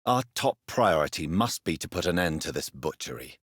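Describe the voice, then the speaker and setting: A principled, brooding English gentleman doctor turned vampire in dramatic video game style. The voice is very low and breathy, recorded close and dry. His delivery is formal and earnest, with a wide, expressive swing in intonation. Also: dry recording, heavily acted. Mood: grave, urgent. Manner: formal, measured, earnest, intense. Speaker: video game lead character voice.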